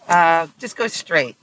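A hoarse man, chatting as a passenger in a car and laughing often. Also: a little high, sharp tone, slight background noise, low-quality recording. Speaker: rideshare passenger. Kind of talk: casual chat. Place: inside a car.

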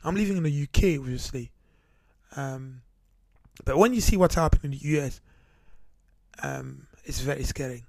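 Earnest gravelly-voiced man hosting a talk show. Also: on the high side, expressive, normal speed, long pauses, a little mellow, no echo. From Cameroon. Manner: earnest, repetitive, many fillers and restarts. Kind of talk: solo podcast monologue. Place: United Kingdom.

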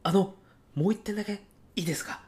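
Man playing an overzealous, high-voiced young salesman-courier. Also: fast talker, darkish tone, natural voice. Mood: sincere, polite. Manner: eager, casual-polite salaryman speech. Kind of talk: comic radio drama acting.

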